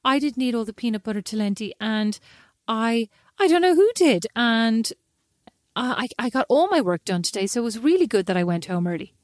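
A woman's voice: a little high, lively intonation, fairly quick, dry recording, studio quality. A woman with a slight lisp, co-hosting a casual chat and teasing her sister playfully.

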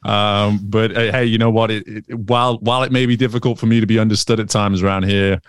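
A man's voice: lowish voice, steady tone, quick pace, clean tone, no room sound, clean studio sound. A man in his thirties from England, whose Northern English accent has picked up American sounds, chatting as an interview guest with many fillers and restarts. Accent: Northern English with American influence. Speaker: guest, swim coach. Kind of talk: casual interview conversation.

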